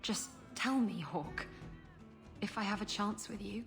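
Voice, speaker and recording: A woman's voice: mid-range voice, a little slow, gravelly, over music. An actress playing a flirtatious, sardonic pirate woman in a video game, sultry and teasing. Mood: tender, hesitant.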